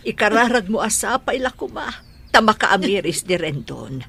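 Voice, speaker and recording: A woman's voice: medium pitch, very animated, moderate pace, vocal fry, a bit bright, slightly rough, exaggerated voice. An actress with an Ilocano accent playing a caring older mother figure who soothes and advises in a radio drama. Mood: gentle concern.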